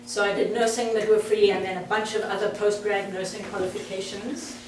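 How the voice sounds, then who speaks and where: A woman's voice: medium pitch, fairly even intonation, a little fast, noisy background. A woman in her sixties with a South African accent, giving a chatty talk to an audience. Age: 60s. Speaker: guest speaker. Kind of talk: presentation to an audience. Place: meeting hall. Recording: echoey hall, mic far off.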